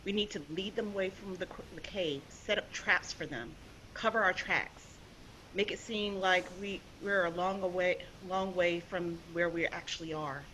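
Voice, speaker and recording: A woman's voice: fairly low pitch, moderate pace, fairly bright tone, a little hiss or hum, close to an everyday voice, poor audio. A woman playing a tough young survival specialist in a sci-fi table read, firm and matter-of-fact. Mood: determined.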